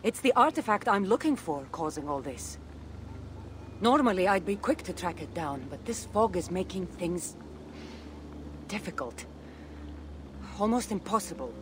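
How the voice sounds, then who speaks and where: An actress playing a confident, secretive ancient Greek warrior woman in a video game, with a Greek accent and a measured, teasing manner. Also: lowish voice, big pitch swings, noisy background. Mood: wry, thoughtful. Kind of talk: video game cutscene acting. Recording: game audio with music behind. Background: game soundtrack music.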